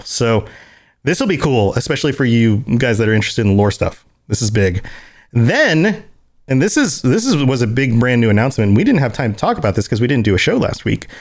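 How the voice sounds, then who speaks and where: An American man co-hosts a podcast in a relaxed, chatty way. His voice swings widely in pitch and jumps into high, excited exclamations. He reads event listings aloud between casual remarks. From United States. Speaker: podcast co-host.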